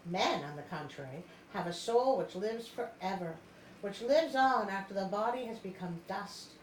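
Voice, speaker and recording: A woman voicing a wise old mermaid grandmother from a storybook, speaking in a grave, measured way. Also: mid-range voice, lively intonation, close to an everyday voice. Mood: solemn. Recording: roomy echo, background noise.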